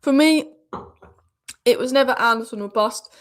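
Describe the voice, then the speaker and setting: A young woman with a cold, sniffly and a bit hoarse, chatting casually and with strong opinions as host of a football channel live stream. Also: on the high side, normal speed. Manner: chatty, opinionated, rambling with fillers and restarts. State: has a cold, sniffly and sore-throated.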